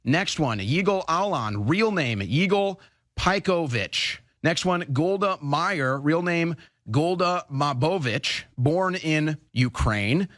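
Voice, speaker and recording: A man rattling off a list in quick succession, one item after another, in a casual way. His voice sits a little higher than average. The sound is dry and close, with no room around it. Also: animated, a bit bright, no room sound.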